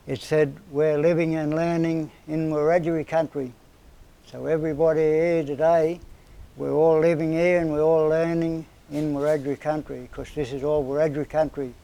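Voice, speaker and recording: Older aussie bloke in his 70s telling old stories, gravelly low warm voice, kinda flat delivery. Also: rough recording. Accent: Australian. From northern New South Wales, Australia. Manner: plain-spoken, rambling storyteller with everyday slang. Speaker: interviewee, community elder. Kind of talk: storytelling in casual conversation.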